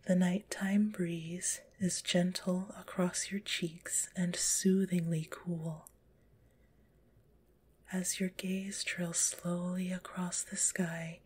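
A woman softly whispering a guided relaxation in an ASMR style, gentle and soothing, with a slightly stuffy nose. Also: lowish voice, slight background noise. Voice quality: breathy whisper; stuffy-nosed. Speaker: guided visualization narrator.

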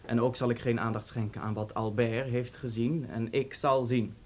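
Actor as suave, wordy police officer. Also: male voice, medium pitch, little pitch movement, moderate pace, natural voice. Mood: smoothly pressing. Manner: urbane, wordy, polite. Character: suave, talkative male police officer, coffee connoisseur, slyly menacing. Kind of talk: Dutch radio play acting.

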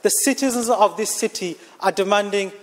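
Guy doing an emphatic council speech, pretty high voice, big echoey chamber. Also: normal speed. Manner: emphatic, oratorical, repeating phrases for effect. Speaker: city councillor giving a speech. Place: large indoor council chamber. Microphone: gooseneck desk microphone. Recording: reverberant hall sound.

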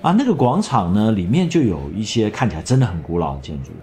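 A middle-aged man, chatting in a travel vlog voice-over and telling stories in an animated way. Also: on the low side, fast talker, a little hiss or hum. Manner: chatty, animated storytelling with laughs. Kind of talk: casual travel commentary voice-over.

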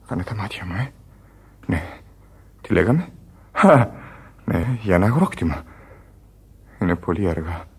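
An actor playing a weary, feverish dying sailor in a Greek radio drama, halting and drifting toward whispers, full of regret. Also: male voice, deep voice, big pitch swings, darkish tone, husky, heavily acted. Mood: hazy nostalgia. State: weak, in pain. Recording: radio play with music underneath. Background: music.

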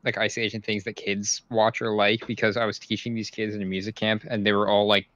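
A man in his twenties bantering on a stream, excitable and prone to repeating himself. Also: on the low side, brisk, no room sound, dull audio. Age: 20s. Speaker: co-host. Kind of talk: casual banter.

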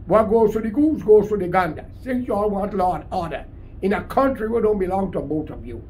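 A man's voice: sits high, animated, brisk, a little mellow. An older man in his sixties with a Guyanese accent, giving a combative, emphatic unscripted political monologue to camera. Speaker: political commentator. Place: small cluttered room indoors. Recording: clear background room noise.

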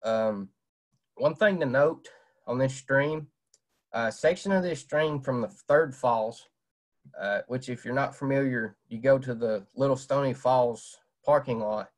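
A young man, giving a casual fishing talk over a webcam with many "uh" fillers. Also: mid-range voice. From Southwest Virginia. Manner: plain-spoken, many "uh" fillers. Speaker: fishing presenter. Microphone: webcam on video call.

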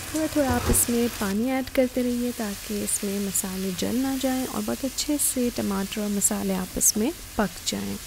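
A woman's voice: on the high side, moderate pace, few pauses. A woman hosting a cooking show, narrating a recipe step by step and instructing the viewer directly. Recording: clear sizzling and stirring kitchen noise. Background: frying, sizzling and stirring.

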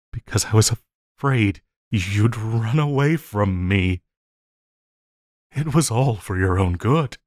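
A man playing a possessive supervillain lover in an intimate audio roleplay, coaxing and pleading with a halting delivery and quiet menace. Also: on the low side, no echo, clean studio sound.

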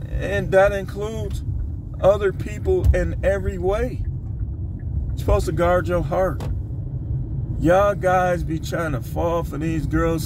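An American man in his fifties giving blunt, streetwise advice in a casual monologue, repeating phrases for emphasis, recorded on a phone inside a car. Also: fairly high pitch. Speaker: vlogger giving relationship advice. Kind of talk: casual opinion monologue to camera. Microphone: phone at arm's length. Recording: clear car and outdoor traffic noise.

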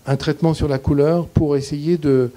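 Halting older man with a dark voice. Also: on the high side, little pitch movement. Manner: halting, searching for words, gesturing. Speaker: guest cinematographer. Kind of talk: thoughtful Q&A answers. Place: small indoor screening room in a shop. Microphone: handheld mic, standing.

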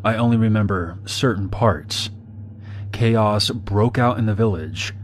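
Man, from Somalia, low voice, somber narration, faint background noise. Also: a little slow. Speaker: narrator telling his own story. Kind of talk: scripted first-person narration.

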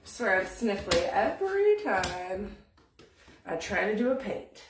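A woman's voice: mid-range voice, big pitch swings, takes it slowly, far from the mic, background noise. Chatty sniffly woman in an echoey room. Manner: chatty, rambling, laughs easily. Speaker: craft teacher hosting a live paint-along. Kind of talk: casual live craft tutorial. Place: small home craft room. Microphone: phone camera above the table, often out of reach.

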